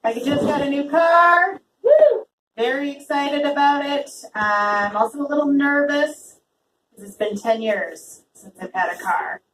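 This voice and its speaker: A woman's voice: high-pitched, animated, a little slow, background noise. A woman doing a bold, playful stand-up set at an open mic, with a sing-song delivery. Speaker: stand-up comedian. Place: small open mic venue with a talking audience. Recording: roomy audience recording from far off.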